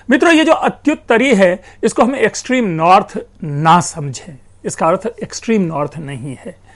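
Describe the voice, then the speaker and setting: A man lecturing on linguistics in an explanatory classroom manner, addressing his listeners as friends and stressing words to show meaning. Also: high voice. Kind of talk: educational lecture.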